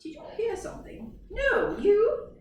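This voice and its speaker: A woman putting on a snooty storybook animal, dismissive and fussy, its pitch swooping up and down as it refuses. It is heard in a very echoey room from some distance, over background noise. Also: very animated, measured pace, exaggerated voice. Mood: indifferent. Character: dismissive, unwelcoming storybook animals turning visitors away.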